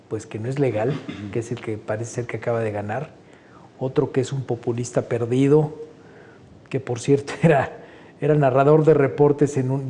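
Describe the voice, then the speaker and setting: Male guest, 60s, Mexican accent, very dark voice, conversational with frequent fillers. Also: medium pitch. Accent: Mexican Spanish. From Honduras. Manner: conversational, opinionated, many fillers like "este". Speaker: guest painter. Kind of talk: interview answers.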